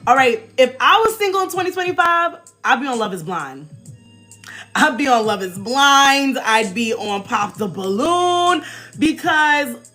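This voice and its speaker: An American woman in her forties hosting a casual, chatty livestream with animated, emphatic delivery and informal slang. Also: a little high. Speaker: livestream host. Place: small indoor room, backdrop behind. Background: faint music.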